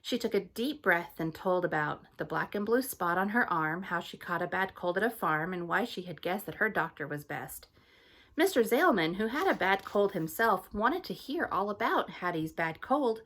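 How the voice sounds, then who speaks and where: A teacher reading a picture book aloud to her students in a gentle, friendly storytelling manner. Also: on the low side, lively intonation, normal speed.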